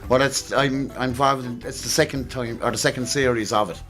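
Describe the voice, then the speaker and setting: A man's voice: mid-range voice, fairly even intonation, brisk, a bit bright, soft background music. A man with an Irish accent giving casual interview answers as an amateur drama actor.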